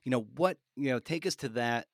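A man hosting a podcast interview, asking questions in a casual, halting, roundabout way. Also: mid-range voice, expressive, no echo, clean studio sound.

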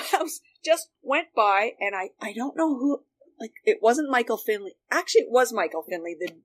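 A woman's voice: medium pitch, a bit bright. A middle-aged woman chatting as a guest, telling anecdotes in a casual, wry way. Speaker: guest, former radio worker.

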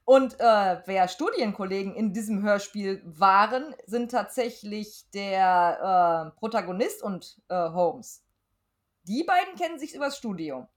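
A woman's voice: medium pitch, lively intonation, a little slow. A woman co-hosting a casual podcast review, talking in a colloquial, blunt and opinionated way. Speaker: podcast co-host discussing an audio drama.

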